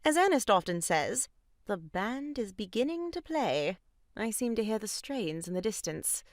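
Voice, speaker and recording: A woman's voice: mid-range voice, sing-song, moderate pace, no room sound, studio quality, natural voice. A woman playing an unflappable, bluntly frank married lady in an audio farce, genteel and breezy. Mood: politely unfazed. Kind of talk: stage farce audio drama acting.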